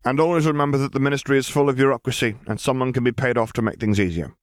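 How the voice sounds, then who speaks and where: A man's voice: medium pitch, rapid-fire, dry recording, clean studio sound, voice pushed up, close to an everyday voice. A man voicing a brash young witch crime boss in an audiobook, glib, mock-polite and theatrically menacing. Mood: brisk, commanding. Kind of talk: acted dialogue in fan fiction audiobook.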